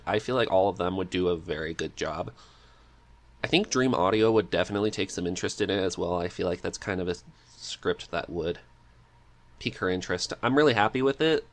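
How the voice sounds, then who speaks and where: A man with a low voice talks as a guest in a relaxed interview. He thinks aloud, a little hesitant, in an easygoing conversational way. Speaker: podcast guest, script writer and amateur voice actor. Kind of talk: casual interview chat.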